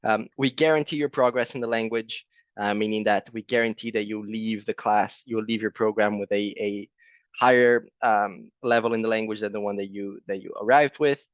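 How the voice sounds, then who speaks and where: A man's voice: lowish voice, fairly even intonation, dry recording, highs cut off. A man from Brazil with a Brazilian Portuguese accent, presenting a language program in a friendly way at a virtual fair and often adding "right?". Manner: friendly, fills pauses with "right?". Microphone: video call.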